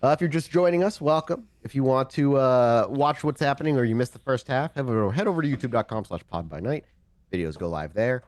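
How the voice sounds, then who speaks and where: A man running a tabletop roleplaying game, shifting between casual talk and deliberate, dramatic second-person narration. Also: medium pitch, animated, no echo. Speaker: game master of a streamed tabletop roleplaying show.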